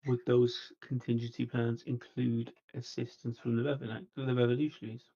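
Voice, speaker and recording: A man voicing a reasonable, diplomatic vampire negotiator in a tabletop roleplay, halting and polite, trying to conciliate. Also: mid-range voice, level tone, creaky, mellow, dull audio, natural voice. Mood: calm, conciliatory.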